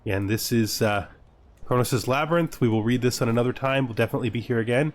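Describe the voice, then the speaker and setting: A man's voice: medium pitch, fast talker. A man giving casual gameplay commentary, reading game text aloud and reacting with dry, offhand humour. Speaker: gaming video host.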